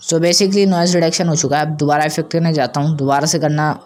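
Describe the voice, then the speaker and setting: A man hosting a casual, step-by-step software tutorial. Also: fairly high pitch, steady tone, fast, soft background music. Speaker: tutorial host.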